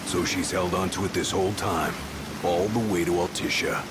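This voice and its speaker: An actor playing a gruff, distrustful warrior companion in a video game, speaking bluntly and plainly. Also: male voice, deep voice, fairly even intonation, normal speed, heavy background noise, lightly acted. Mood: skeptical, blunt. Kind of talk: video game cutscene acting. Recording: voice over loud game music.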